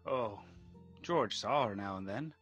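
An actor playing a calm, knowing storyteller in a radio drama, measured and explanatory. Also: male voice, lowish voice, breathy edge, muffled recording, faint music behind, exaggerated voice. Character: calm, knowing storyteller guiding a listener through a life story.

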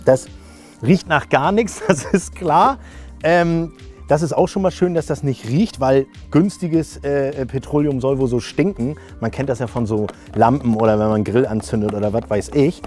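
A man's voice: medium pitch, moderate pace, a little mellow, soft background music. A middle-aged man, chatting informally and jokingly as he thinks aloud through a hands-on product test. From northern Germany. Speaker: camping video host. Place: camping awning, outdoors and inside the tent.

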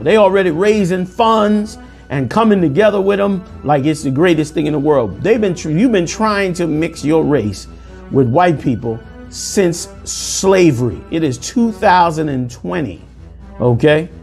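A man in his forties preaching commentary over news clips, forceful and sermonizing, calling listeners "man", recorded indoors on a lapel mic. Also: high voice. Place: indoor room.

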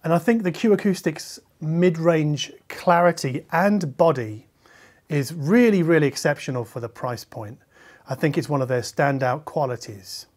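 A middle-aged man, a reviewer talking conversationally to camera in a measured, explanatory way, qualifying his points as he goes. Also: on the high side, a little mellow. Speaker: hi-fi reviewer and video host. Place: medium-sized living room listening space, indoors.

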